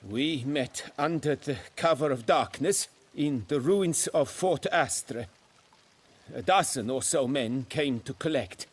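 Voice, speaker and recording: Raspy, pleading, frightened wine master. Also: male voice, mid-range voice, slow. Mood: anxious confession. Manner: stammering, pleading, deferential. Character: frightened, guilt-ridden male wine master of fallen nobility. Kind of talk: dramatic video game acting.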